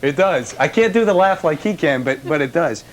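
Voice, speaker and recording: A man with a higher-set voice reports casually from inside a house, joking and firing eager questions. The sound is a slightly noisy 1990s TV broadcast. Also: normal speed. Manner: animated, jokey, chatty, eager questioning. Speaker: field reporter. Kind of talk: live feature interview, casual banter. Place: collector's house, indoors.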